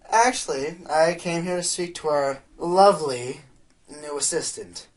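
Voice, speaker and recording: A man playing an excitable, scheming inventor pony in an audio drama, geeky, hesitant and boastful. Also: on the high side, unhurried, natural voice. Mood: awkward.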